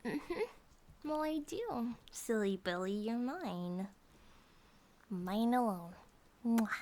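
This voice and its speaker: A woman acts out a grizzly bear monster-girl wife in an intimate ASMR roleplay. Her voice is fairly high and cutesy. She rambles softly to her partner, childlike, affectionate and a little possessive, at times slipping toward a whisper. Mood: jealous, affectionate. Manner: cutesy, rambling, giggly, sometimes dropping to a whisper.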